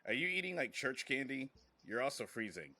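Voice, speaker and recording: A man's voice: mid-range voice, sing-song, a little fast, bright, forceful tone. A man hosting a podcast, chatting casually and informally with some swearing. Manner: informal, profane. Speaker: podcast host.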